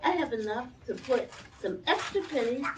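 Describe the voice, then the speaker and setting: A woman's voice: fairly high pitch, lively intonation, normal speed, pitched above the performer's own voice, lightly acted. A woman voicing eager young children in a storybook, with simple childlike enthusiasm. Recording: dull, distant, noisy room recording.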